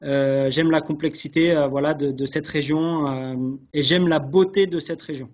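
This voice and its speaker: Guy with a highish voice chatting in an interview, halting and sincere, kinda muffled lo-fi call sound. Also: steady tone. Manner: earnest, hesitant, repeats words as he searches. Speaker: guest, chef sommelier. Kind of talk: conversational interview answers. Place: online video call. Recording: dull lo-fi webinar audio.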